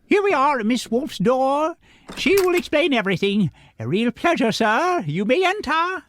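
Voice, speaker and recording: Casting: eager, deferential doorman, very high voice, swooping and gushing, cartoon-style radio drama. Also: big pitch swings, moderate pace, fairly bright tone, no room sound, studio quality, full character voice. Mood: cheerful, starstruck. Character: cheerful, eager, starstruck animal doorman.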